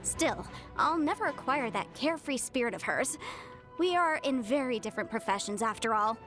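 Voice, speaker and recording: A young woman voicing a confident, studious female legal adviser in a video game, articulate, expressive and self-assured. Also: a little high, normal speed, soft background music. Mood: pleasantly musing. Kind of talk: scripted video game character voice lines.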